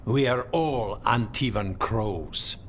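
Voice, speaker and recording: An actor playing an unflappable assassin in a video game, clipped and dry. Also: male voice, fairly low pitch, slow, fairly bright tone, slight background noise. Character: terse, unflappable male assassin. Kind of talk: restrained video game acting.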